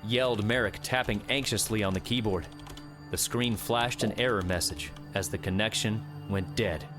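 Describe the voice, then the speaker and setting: A man's voice: lowish voice, normal speed, music in the background. A man reading a scripted adventure audiobook as a dramatic narrator.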